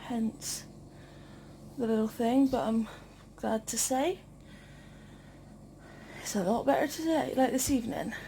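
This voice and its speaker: A woman hosting a casual vlog, chatting informally and haltingly to the camera. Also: a little high, gravelly, dark tone, slight background noise, rough recording. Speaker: vlog host.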